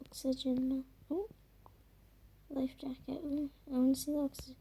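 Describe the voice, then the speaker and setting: A woman chatting loosely while playing a game, her voice croaky, full of fillers and trailing murmurs. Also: sits high, level tone, normal speed, dark tone, slight background noise. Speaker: gamer. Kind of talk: casual gameplay commentary.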